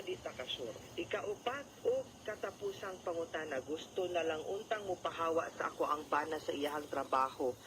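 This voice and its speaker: A woman's voice: low-pitched, background noise. A woman with a Cebuano Filipino accent gives conversational legal advice as a radio host, explaining step by step and often adding tag questions.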